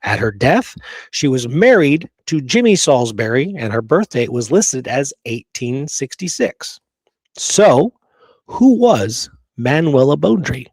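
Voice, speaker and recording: A man's voice: mid-range voice, sing-song, a little slow, darkish tone, slightly rough, no room sound. A man hosting a history show, presenting research emphatically, partly from prepared notes, often checking in with listeners. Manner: emphatic, checks in with rhetorical right and okay.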